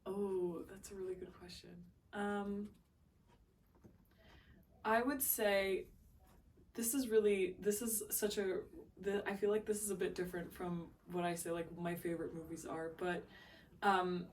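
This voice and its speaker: A woman's voice: mid-range voice, level tone, a little slow, long pauses. A young woman who grew up in Luxembourg, answering interview questions hesitantly with many fillers. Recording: distant voice with faint background hum.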